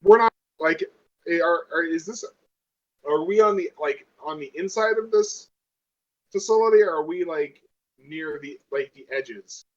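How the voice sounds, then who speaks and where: A man's voice: fairly high pitch, a little slow, muffled recording. A man playing a tabletop roleplaying game, chatting casually and thinking aloud in a hesitant way.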